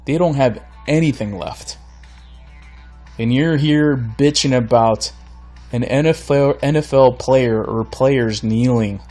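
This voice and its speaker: A man's voice: medium pitch, slow pace, a little mellow, soft background music. A man from Florida hosting his own podcast, ranting bluntly and with exasperation while he is losing his voice. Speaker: podcast host. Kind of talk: solo podcast rant.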